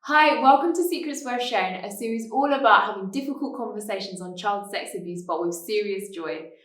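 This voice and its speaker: A woman's voice: mid-range voice, fairly quick, soft background music. A British woman hosting a conversational podcast, talking animatedly and acting out quoted voices. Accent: British English. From United Kingdom. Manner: animated, acts out quoted voices, laughs easily. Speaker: podcast host.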